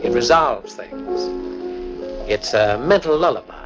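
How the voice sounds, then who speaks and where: An actor playing a cultured, confident amateur detective with a measured, faintly smug manner in a 1940s radio mystery. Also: male voice, mid-range voice, lively intonation, natural voice. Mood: composed, confident. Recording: dull 1940s radio recording with music.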